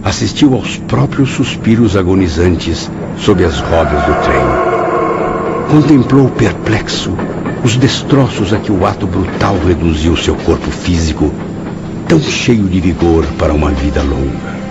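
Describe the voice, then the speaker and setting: A man's voice: low voice, animated, measured pace, creaky, exaggerated voice. An actor playing an earnest male spirit who narrates his memoirs in a radio drama, solemn and emphatic. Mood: solemn, awed. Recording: dull recording with music behind.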